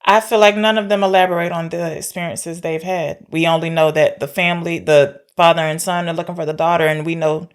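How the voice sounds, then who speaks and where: A woman co-hosting a film podcast in casual banter, blunt and quick to question and interject. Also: fairly low pitch, little pitch movement, smooth.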